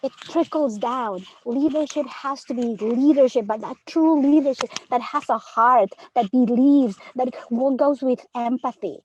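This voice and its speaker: A woman's voice: high-pitched, measured pace, hardly any pauses, darkish tone, lo-fi sound. A woman from Peru, a third grade teacher, speaking earnestly on a panel discussion and often asking "right?". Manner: earnest, emphatic, many rhetorical "right?".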